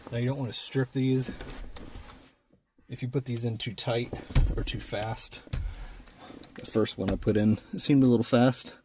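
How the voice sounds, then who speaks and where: A man's voice: medium pitch, fairly even intonation, normal speed, pauses often, mellow, slight background noise. A man giving a casual, plain-spoken hands-on tutorial, talking through each step as he works. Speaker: vlogger doing a DIY truck install.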